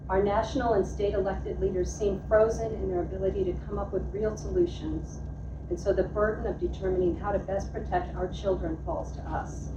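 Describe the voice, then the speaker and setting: A woman's voice: mid-range voice, noisy background. A woman reading a prepared, formal statement at a public comment. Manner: measured, formal. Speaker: community speaker at public comment. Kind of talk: prepared statement read aloud. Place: school board meeting room. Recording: distant, dull, echoey room audio.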